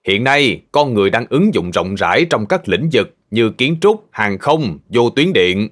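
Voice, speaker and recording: Cartoon farm owner, played by a man: friendly adult, animated delivery, gently explains facts to kids. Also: fairly low pitch, measured pace. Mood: friendly, instructive. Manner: patient, explanatory, addressing children gently. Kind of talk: children's cartoon dubbing, educational.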